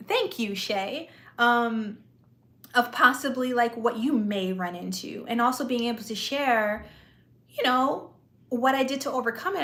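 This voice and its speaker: A woman's voice: fairly high pitch, fairly bright tone. A woman chatting with viewers on a live stream, talkative and easygoing, with a soothing voice and lots of "like" and "you know". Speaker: vlogger and aspiring actress. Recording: echoey room.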